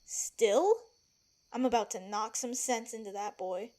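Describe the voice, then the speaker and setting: A woman's voice: on the high side, measured pace, warm tone, close to an everyday voice. A woman acting a feisty, protective palace servant in an audio drama, blunt and emphatic. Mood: indignant.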